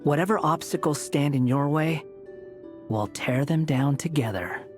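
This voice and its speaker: A resolute male ally speaks slowly and firmly in a video game, voiced by a man. His voice echoes with a long hall-like tail. Loud music fills the background. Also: on the high side, close to an everyday voice.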